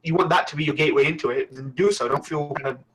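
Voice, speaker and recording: A man's voice: on the high side, very fast, rough recording. A man hosting a casual online podcast, asking each guest in turn for plugs and encouraging them. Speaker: podcast host.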